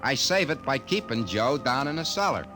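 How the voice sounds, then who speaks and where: A man's voice: medium pitch, lively intonation, normal speed, lightly acted. A man playing a wry, grumbling repairman in 1950s radio drama style, talking in slangy, conversational wisecracks. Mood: wry, confiding. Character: wry, grumbling repairman, ordinary working guy. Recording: old radio broadcast with music behind.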